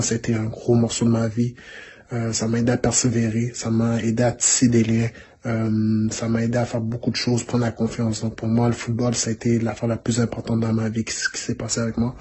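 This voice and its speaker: A young man giving a relaxed, hesitant testimonial to students. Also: medium pitch, slight background noise. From Rwanda, raised in Canada. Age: young adult. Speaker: former student, pro football player.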